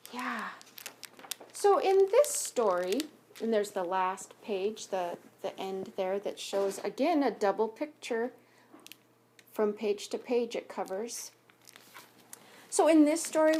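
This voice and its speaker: A woman reading a picture book aloud to young pupils as a teacher, expressively, pausing to ask questions and explain things simply. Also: mid-range voice, darkish tone. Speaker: elementary school teacher reading aloud to students.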